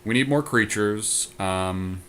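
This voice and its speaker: A man's voice: on the low side, slow, pushed and bright, smooth. A man co-hosting a casual card game podcast, thinking his choices through aloud in a conversational way. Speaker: podcast co-host.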